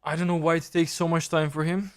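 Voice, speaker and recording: A man's voice: a little high, level tone, fast, clean tone, no room sound, clean studio sound. A man from Serbia with a Serbian accent, thinking aloud and explaining step by step as he coaches on a live chess stream.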